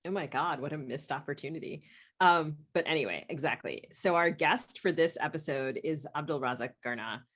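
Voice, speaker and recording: A woman's voice: low voice, fairly bright tone. A woman hosting a podcast, talking in a conversational interview style. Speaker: podcast host.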